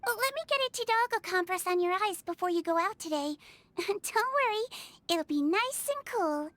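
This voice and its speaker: A woman voicing a small, sweet young female melusine nurse in a video game, with a childlike voice and a gentle, earnest, reassuring manner. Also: very high voice, animated, moderate pace. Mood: caring. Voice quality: childlike, girlish. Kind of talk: video game character voice lines. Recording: studio voice over game music.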